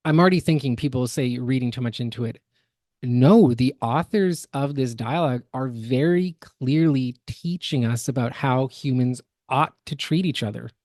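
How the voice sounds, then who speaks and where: A man's voice: mid-range voice, normal speed, a little mellow, dry recording. Emphatic man, dry close podcast sound. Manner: analytical, emphatic, builds word lists. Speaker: podcast co-host. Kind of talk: opinionated reaction commentary.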